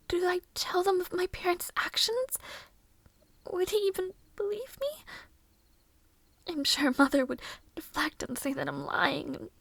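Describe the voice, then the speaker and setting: A woman playing a weary, mistreated young maid in an audio roleplay, musing softly to herself in formal, breathy half-whispers. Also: very high-pitched, no room sound, heavily acted. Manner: slow, soft, formal old-fashioned phrasing, musing to herself.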